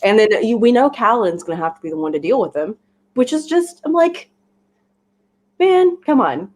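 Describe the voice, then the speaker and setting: A woman's voice: fairly high pitch, very animated, normal speed, a little mellow. A woman co-hosting a book livestream, chatting casually in an informal, slangy and emphatic way. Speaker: co-host of a book discussion livestream.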